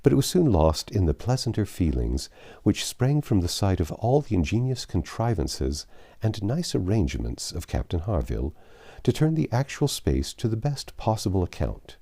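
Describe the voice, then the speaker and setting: A man reading classic literary fiction aloud as an audiobook narrator, in a measured, expressive storytelling manner. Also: sits low.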